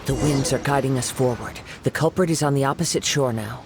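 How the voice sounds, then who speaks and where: An actor playing a calm young male wandering swordsman in a video game, measured and poised. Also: medium pitch, background noise, close to an everyday voice. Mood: composed.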